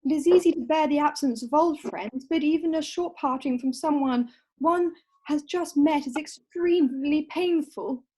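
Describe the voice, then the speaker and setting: A woman's voice: high voice, normal speed, mellow, lightly acted, poor audio. A woman reading a sweet, self-possessed and romantic young lady in an amateur play read-through on a video call. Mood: sweet, prim.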